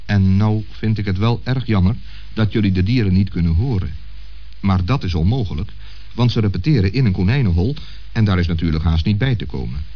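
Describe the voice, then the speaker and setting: Male narrator for children's radio play, lowish pitch, animated storytelling intonation, dull vintage sound. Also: a little fast. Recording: muffled old radio recording.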